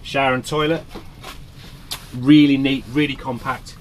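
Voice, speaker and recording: A man's voice: mid-range voice, level tone, measured pace, a little hiss or hum. A man in his forties presenting a camper van with upbeat, chatty enthusiasm as he walks around it and inside, pointing out features. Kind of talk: upbeat walkaround review. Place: outdoors by a van on a windy coast, and inside the van.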